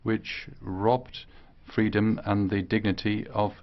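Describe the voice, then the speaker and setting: A man interpreting a speech live, speaking in short, halting phrases. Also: lowish voice, flat delivery, lo-fi sound. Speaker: simultaneous interpreter.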